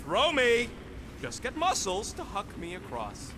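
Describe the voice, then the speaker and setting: A man voicing a small, cocky, irritable raccoon mercenary in a video game, with gruff, sarcastic, streetwise delivery. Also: high voice, huge pitch swings, unhurried, full character voice. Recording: battle noise behind the voice.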